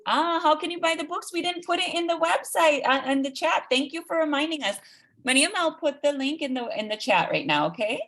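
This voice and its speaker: A woman's voice: high voice, lively intonation, a little fast, a bit bright. Woman hosting an online children's event. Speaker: host of an online read-aloud event. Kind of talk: hosting a live video call for children, announcements.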